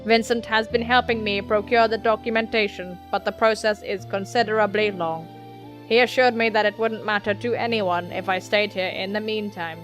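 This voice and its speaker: A woman's voice: medium pitch, steady tone, normal speed, a bit bright, faint music behind, close to an everyday voice. A woman voicing a polite male android from a visual novel in a formal, stiff, matter-of-fact way. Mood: flat, formal.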